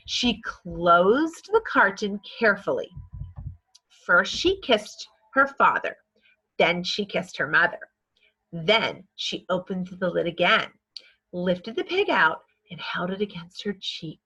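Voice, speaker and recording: A woman reading a children's story aloud in a measured, scripted narrating style. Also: on the low side, sing-song, bright, forceful tone, slightly rough, poor audio. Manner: measured, acts out dialogue. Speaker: storybook reader.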